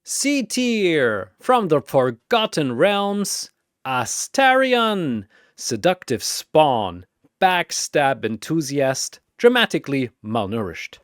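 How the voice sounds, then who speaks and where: A man voicing a flamboyant, bombastic arena showman, proclaiming fighters in a drawn-out, theatrical announcer's style. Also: on the high side, big pitch swings, dry recording, studio quality. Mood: booming, proclaiming.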